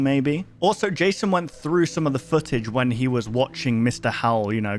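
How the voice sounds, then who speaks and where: A man recapping a story in a casual, conversational commentary style, often thinking aloud as he goes. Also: medium pitch. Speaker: host of a horror book review video. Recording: music behind the voice. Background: music.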